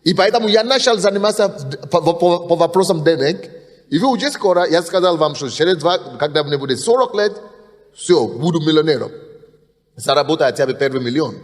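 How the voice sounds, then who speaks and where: A man's voice: on the high side, lively intonation, brisk. A man in his thirties preaching a sermon from the pulpit, insistent and emphatic, often restarting words. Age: 30s. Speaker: pastor. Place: church hall, at the pulpit. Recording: long hall reverb.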